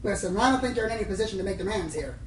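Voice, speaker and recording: A woman's voice: mid-range voice, lively intonation, slight background noise, natural voice. A woman voicing a pompous, condescending veteran pony news reporter in an amateur radio play, heard on a roomy, distant mic. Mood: dismissive. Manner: pompous, fast-talking, dismissive. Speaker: voice actor in an audio drama. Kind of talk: fan radio play acting.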